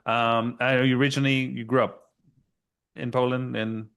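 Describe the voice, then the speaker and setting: A man hosting an interview podcast, talking in a halting, conversational way. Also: medium pitch, level tone, vocal fry, fairly bright tone, clean tone. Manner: halting, with fillers and restarts. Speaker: podcast host. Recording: faint guitar music behind.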